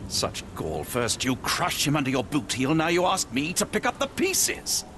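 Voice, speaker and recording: An actor playing a loyal, principled Victorian doctor in a video game, British, earnest and formally articulate. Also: male voice, fairly high pitch, wildly swooping pitch, normal speed, background noise. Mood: indignant, sardonic. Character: loyal, principled Victorian male doctor, steadfast companion to detective. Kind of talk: video game cutscene acting. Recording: music behind the voice.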